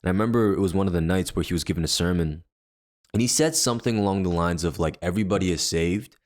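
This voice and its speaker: A young man with a deep voice, a podcast co-host telling a story in casual chat with many fillers and restarts. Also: fast, darkish tone. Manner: rambling, filler-heavy storytelling.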